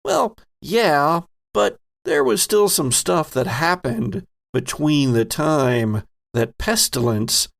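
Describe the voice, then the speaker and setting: A man with a creaky, gravelly voice narrates as a horror game master. He takes his time, stretching phrases with theatrical, playful emphasis. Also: fairly high pitch, big pitch swings. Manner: dramatic, deliberate, playful emphasis. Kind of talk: theatrical narration for an actual-play horror podcast.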